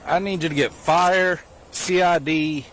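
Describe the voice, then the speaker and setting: Male police officer, fairly high voice, informal field talk, clearly noisy body-camera recording. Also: steady tone, unhurried. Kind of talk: spontaneous on-scene talk and radio calls. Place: outdoors at night scene. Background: loud ambient noise, radio, vehicles.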